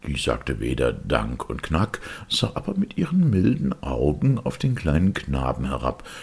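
A man reading a fairy tale as narrator, expressive, with a gravelly, husky voice. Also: very deep voice, unhurried. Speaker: storyteller, narrator.

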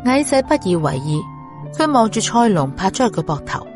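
A woman's voice: mid-range voice, very animated, a little fast. A woman narrating a scripted audiobook story. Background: music.

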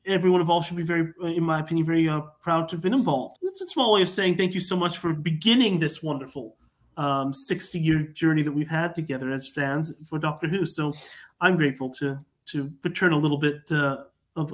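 A man talking earnestly as a podcast guest on a remote call, halting and formal in his wording. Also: on the high side. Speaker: podcast guest, audio drama creator. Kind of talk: earnest conversational talk. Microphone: remote video call.

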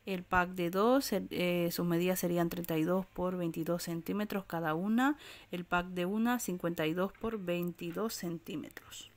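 Woman, low voice, steady product listing, handheld phone, supermarket aisle. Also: moderate pace. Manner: reads out prices and sizes in a steady listing way, trailing off softly. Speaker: shopping vlogger. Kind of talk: walk-through product rundown. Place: indoor discount supermarket aisle. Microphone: handheld phone camera, filming while browsing.

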